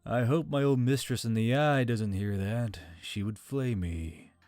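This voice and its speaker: A man's voice: mid-range voice, a little slow, a little mellow, dry recording, clean studio sound, lightly acted. Man voicing an ancient, courtly talking necklace spirit. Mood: dry, courteous. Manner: formal, archaic, wry. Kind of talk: audio fiction character acting. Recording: background music under the voice.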